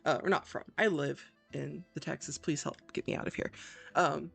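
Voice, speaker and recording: Creaky-voiced woman chatting over a game. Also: low voice, fairly even intonation, normal speed, fairly bright tone, soft background music. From Virginia, Appalachian Mountains, US. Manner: chatty, self-joking asides to viewers. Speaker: gaming video host. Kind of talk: casual playthrough commentary.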